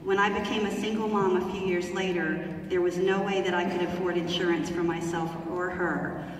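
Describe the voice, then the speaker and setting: A woman's voice: lowish voice, level tone, moderate pace, slight background noise. A woman at a town hall reading out a written testimonial, carefully and with small fillers. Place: large theater hall. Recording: echoey hall from far off.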